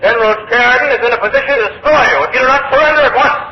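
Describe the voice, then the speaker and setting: An actor playing a brash, cocky young Union cavalry general in old radio drama style. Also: male voice, very high-pitched, racing. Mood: demanding, brash. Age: young adult. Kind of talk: 1940s radio drama acting. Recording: muffled, noisy old radio broadcast.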